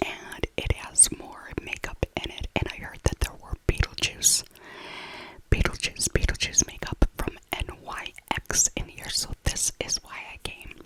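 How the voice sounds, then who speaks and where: A middle-aged woman narrating an ASMR voiceover in a soft breathy whisper, close to the mic, often repeating her words and phrases. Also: low-quality recording. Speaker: ASMR vlogger.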